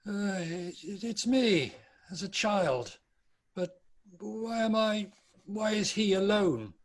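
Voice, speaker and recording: Man acting old miser, high-pitched voice, frightened, stubborn, amateur drama table read. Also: animated, slow, heavily acted. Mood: shaken, wistful.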